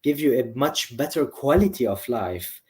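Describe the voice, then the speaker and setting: A man's voice: medium pitch, lively intonation, normal speed, a little mellow. A man from a Lebanese family who grew up in several countries, giving enthusiastic, conversational answers as a medical expert guest. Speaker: nephrologist, interview guest.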